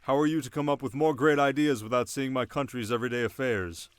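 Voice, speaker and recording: Guy playing a young desert king in asmr rp, mid pitch, teasing, sharp, quietly bossy and protective. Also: steady tone, clean tone, no room sound, lightly acted. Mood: teasing, soft. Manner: teasing, measured, quietly commanding. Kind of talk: intimate ASMR roleplay acting.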